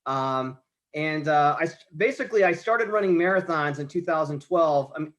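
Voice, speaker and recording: A man's voice: on the high side, moderate pace, fairly bright tone. A man in his late forties from the United States, a podcast guest talking about marathons, rambling and restarting his sentences often. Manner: rambling, many restarts and stammers. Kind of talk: casual conversation.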